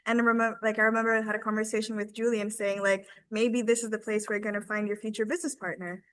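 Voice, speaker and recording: A woman's voice: medium pitch, flat delivery, quick pace, a bit bright, no echo. A woman in her twenties giving an informal, earnest and enthusiastic testimonial as a team member on a webinar. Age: 20s.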